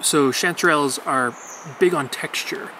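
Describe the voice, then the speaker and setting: A man in his thirties explaining foraging outdoors in a wet forest, calm and patient as he teaches. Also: on the high side, normal speed. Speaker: forager guide. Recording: noisy outdoor forest recording.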